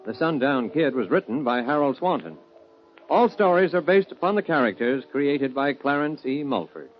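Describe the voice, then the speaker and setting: A man's voice: medium pitch, expressive, moderate pace. A man with an American accent announcing a 1950s radio show in a hearty, theatrical, polished scripted style. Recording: muffled vintage radio recording with music.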